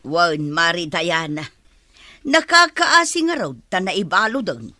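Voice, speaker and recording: A chatty neighbour gossiping in a village radio play, acted by a woman. Her voice is bright and very animated, with a dry, close sound. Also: fairly low pitch, slow, no room sound, full character voice. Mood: gossipy. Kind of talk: radio drama acting in Ilocano.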